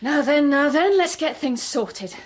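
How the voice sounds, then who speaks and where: A woman's voice: high-pitched, expressive, measured pace. An actress playing an awkward, self-deprecating lonely mother in a naturalistic radio drama, wry and nervous. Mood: busy. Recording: clearly noisy ambience with birdsong.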